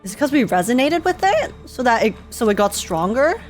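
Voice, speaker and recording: A young woman with a high voice streams her reactions to a game. She is casual, excitable and giggly, thinking aloud over faint game music. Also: fairly quick.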